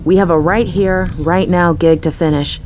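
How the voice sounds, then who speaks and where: A woman's voice: lowish voice, steady tone, moderate pace, darkish tone, a little hiss or hum, close to an everyday voice. A woman playing a flirtatious, teasing and protective female crime boss in an audio roleplay. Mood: serious, firm. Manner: teasing, playful, breathy asides. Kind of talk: audio roleplay acting with ASMR touches.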